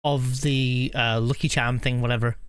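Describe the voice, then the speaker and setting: A woman streaming a game in a low, clear, smooth voice. She reacts casually, thinking out loud and repeating herself. The sound is close and dry. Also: fairly even intonation, normal speed, dry recording. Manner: musing aloud, repeating herself. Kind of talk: casual live reaction commentary.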